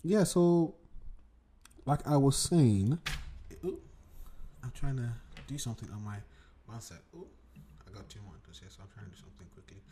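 A man's voice: medium pitch, lively intonation, normal speed, long pauses, dark tone, a little hiss or hum. A young man from Nigeria in his twenties hosting a casual solo podcast from his home desk, rambling, digressing and swearing freely. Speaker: podcast host. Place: home room at a computer desk.

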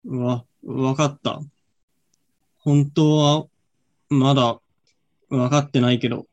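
A man's voice: mid-range voice, fairly even intonation, slow, darkish tone, natural voice. A man playing a hesitant, loyal young friend in a student radio drama. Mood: anguished, hesitant.

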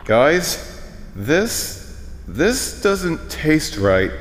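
A man's voice: on the high side, very animated, extremely slow, exaggerated voice. A man acting in an audio drama as a timid, obedient henchman who is polite, earnest and hesitant. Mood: queasy, apologetic. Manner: hesitant, deferential. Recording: reverberant hall.

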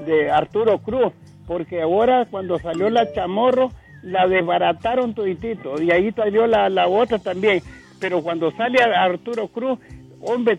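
A man calling in to a radio show, talking haltingly and stumbling over his words. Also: high-pitched, vocal fry. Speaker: caller. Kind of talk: phone call to a radio show. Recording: dull, muffled phone line.

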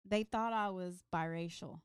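A woman's voice: lowish voice, normal speed, smooth, dry recording. A woman guest on a podcast, telling a long story in casual chat, full of ums and side stories.

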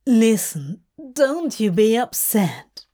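A woman's voice: a little high, big pitch swings, slow pace, warm tone. A woman voicing a sardonic male demon in a story, blustering, exasperated and reassuring by turns. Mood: gentle concern.

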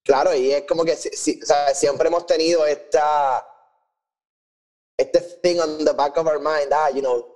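Higher-voiced Puerto Rican man chatting in Spanglish. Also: fairly even intonation, measured pace, darkish tone, low-quality recording. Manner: mixes English phrases into Spanish. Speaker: podcast co-host reviewing an audio drama. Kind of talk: casual chat.

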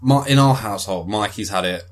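A young man co-hosting a podcast, joking loudly and swearing a lot in casual chat. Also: lowish voice, a little fast. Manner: loud, jokey, swears a lot. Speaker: podcast co-host.

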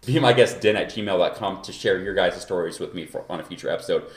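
A man's voice: low voice, fast talker. A man from Kansas hosting a podcast in loose, rambling casual chat, feeling a bit unwell. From Kansas, USA. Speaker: podcast host. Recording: echoey room recording.

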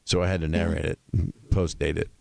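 An older man with a low, gravelly voice, chatting as a comedian guest on a podcast, rambling and dropping into jokey voices. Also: level tone, a little fast, no echo. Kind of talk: casual podcast chat.

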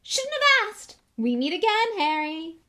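A pompous celebrity schoolmaster, as a woman narrator puts him on, hearty and booming. He is jovial, self-important and patronising, with showy, animated pitch, in storybook fashion. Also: normal speed.

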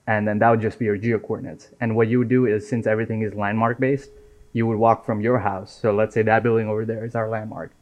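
A young man from Nepal talks in a casual, chatty way with a fairly low voice. The recording sounds dull and lo-fi. He keeps things loose and conversational as a guest. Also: fast. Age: 20s. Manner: relaxed and chatty, many fillers like "right?" and "man". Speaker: software engineer guest. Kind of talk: casual interview chat. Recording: dull lo-fi audio.

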